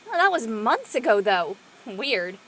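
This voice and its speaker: An actress playing a brash, outspoken teenage girl in a casual, slangy, expressive drama performance. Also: a little high, a little slow, exaggerated voice. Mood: awkward, dismissive. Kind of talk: casual scripted drama dialogue.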